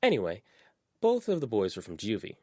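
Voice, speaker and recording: A man's voice: lowish voice, wildly swooping pitch, a little fast, a little mellow, slightly rough, no room sound, studio quality, pitched above the performer's own voice, exaggerated voice. Man doing a chatty blunt teen girl. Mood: gossipy. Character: sharp-tongued talkative teenage girl.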